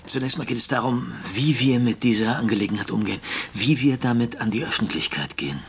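A man played by an actor in a radio drama, a mid-pitched politician careful of his image. He speaks formally and deliberately, placating those around him, with faint ambient noise behind. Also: natural voice. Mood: grave, measured. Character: measured, image-conscious politician, placating husband and brother.

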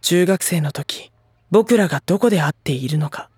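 An actor playing a gentle, polite teenage schoolboy who narrates and speaks in an audio drama, soft and reflective. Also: mid-range voice, animated, moderate pace, slightly rough, dry recording, clean studio sound. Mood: tender, reflective.

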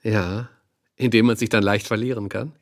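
A man playing a radio talk show host with a fairly low voice, recorded close and dry. He is polite and attentive, interviewing in a conversational way with small interjections. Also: fairly even intonation, normal speed, no echo, close to an everyday voice. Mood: curious, amused.

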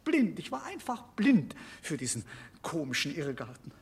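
A man's voice: a little high, huge pitch swings, moderate pace. An actor playing a timid, put-upon brother in a radio drama, nervous and hesitant in his speech. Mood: fearful, hesitant. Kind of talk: German radio drama acting.

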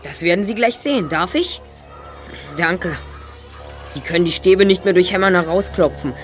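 A woman voicing a clever teenage boy detective in a radio play, calm and explaining. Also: on the low side, moderate pace, faint music behind, lightly acted. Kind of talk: amateur radio play acting.

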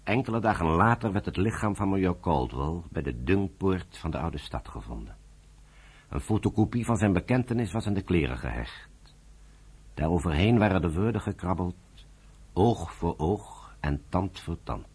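A man narrates a scripted radio drama. His voice is low and husky. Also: expressive, normal speed, a little mellow.

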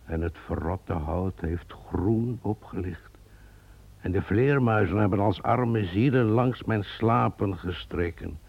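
A brooding man haunted by his traitor ancestor, voiced by an actor, with a deep, gravelly voice. He speaks slowly and solemnly, as if describing a vision. The old radio play recording sounds dull and muffled. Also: exaggerated voice. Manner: solemn, measured, visionary storytelling. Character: brooding male guide, descendant of a traitor.

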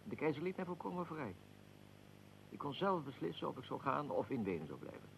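An actor playing a proud, aggrieved middle-aged archduke in a radio drama, speaking formally and deliberately with a gravelly voice. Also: male voice, a little high, sing-song, slight background noise, low-quality recording. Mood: dignified, explanatory. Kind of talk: radio drama, historical figure being interviewed.

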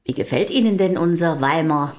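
An actress in a German historical radio drama playing a composed, dutiful common-born companion of a poet, measured and plain-spoken. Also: female voice, low-pitched, expressive, a little mellow, a little hiss or hum. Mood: composed, polite.

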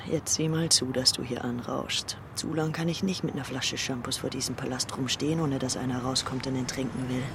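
An actress playing a cool, flirtatious con artist with a breezy, coy manner in a radio drama. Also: female voice, extremely low pitch, steady tone, fairly quick, few pauses, background noise. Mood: impatient.

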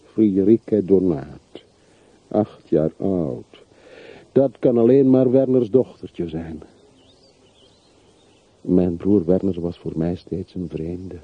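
A man's voice: sits low, takes it slowly, long pauses, husky, no echo, full character voice. An actor in a radio drama playing a brooding, bitter man from a rich family who mourns his dead in melancholy monologue. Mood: sombre. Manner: melancholy, reflective, literary monologue. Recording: muffled, narrow-band old radio play recording.

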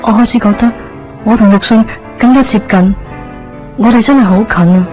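A woman speaks in a soft, wistful inner monologue, her words laid over a clear music bed. The broadcast sound is slightly dull. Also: mid-range voice, normal speed. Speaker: narrator and lead character. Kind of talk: 1990s radio drama narration.

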